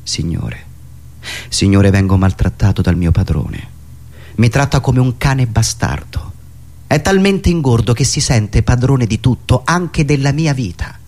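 A man playing a maltreated, frightened servant praying humbly, in a hushed, pleading theatrical monologue. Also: mid-range voice, expressive, measured pace, pitched below the performer's own voice. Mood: pleading, humble.

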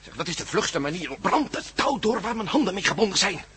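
An actor playing a gruff, urgent captive adventurer in a Dutch radio play, speaking tersely and with strain. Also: male voice, high voice, expressive, a little fast, sharp tone, heavily acted. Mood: strained, commanding.

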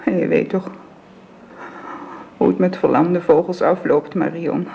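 An actress playing a long-ill bedridden wife who speaks softly and haltingly, often in a whisper, in a dull old radio drama recording. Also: female voice, on the low side, steady tone, creaky, slight background noise. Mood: resigned. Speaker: bedridden invalid.

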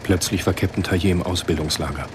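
An actor as the composed narrator of a German radio spy thriller, telling the story in a measured, literary way. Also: male voice, low-pitched, very noisy, lightly acted. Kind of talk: scripted radio drama narration.

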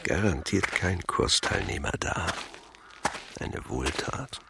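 A man's voice: low voice, lively intonation, takes it slowly, gravelly, background noise, full character voice. An actor playing a grumpy older man muttering to himself on a night walk, in a radio drama. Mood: grumbling. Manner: grumbling muttered inner monologue. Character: grumpy older male local walking home at night.

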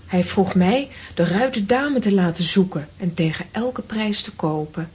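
An actress playing a composed young lady of a castle in a children's audio drama, soothing and husky. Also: mid-range voice, a little slow, darkish tone. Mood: composed, placating.

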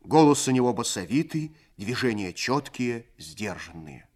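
A man narrating a literary story for a radio play in a measured, expressive storytelling manner. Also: mid-range voice.

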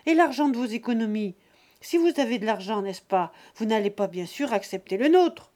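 A man's voice: high voice, expressive, moderate pace, a little mellow. A woman reading aloud and voicing a sly, greedy younger son pressing his father about hidden money. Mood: insistent. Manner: pushy, insinuating.

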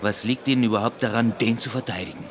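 A man's voice: fairly low pitch, fast talker, a little hiss or hum, natural voice. A man acting in a German radio drama as an indignant painter with a grudge, speaking emphatically and with sarcasm. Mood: sarcastic.

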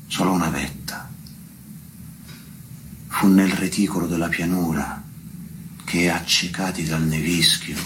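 A man reciting poetry in a grave, measured manner with deliberate pauses. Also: deep voice, a bit bright, a little hiss or hum. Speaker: poetry reader at a tribute recital.

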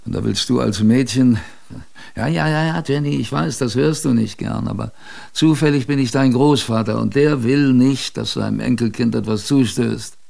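An actor playing a gruff but fond old sailor grandfather, telling his memories in a salty, colloquial radio-play manner. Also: mid-range voice, a little mellow. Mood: grumbling, fond. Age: older. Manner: colloquial, salty storytelling with musing pauses. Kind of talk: radio drama first-person narration.

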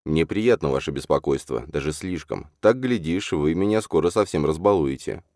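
A weary, courteous coachman in an audiobook, as a male narrator plays him. His low voice is measured and polite, with a wry, stubborn sense of duty. It is recorded dry and close. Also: animated, a little mellow, no room sound. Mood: touched, teasing. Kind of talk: audiobook character voice.

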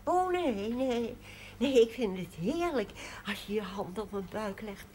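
Meek village woman, fairly high voice. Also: expressive, unhurried, a little mellow, a little hiss or hum. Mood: soothing. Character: meek, trusting village woman patient, soothing and devout. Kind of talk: television drama acting. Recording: television soundtrack with busy background chatter.

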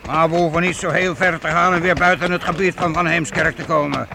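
An actor playing a proud, defiant master weaver who leads armed townsmen in a medieval radio play, assured and paternal. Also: male voice, fairly high pitch, fairly even intonation, brisk, gravelly, bright, forceful tone, slight background noise. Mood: confident, dismissive. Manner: assured, explanatory, addresses youngsters paternally.

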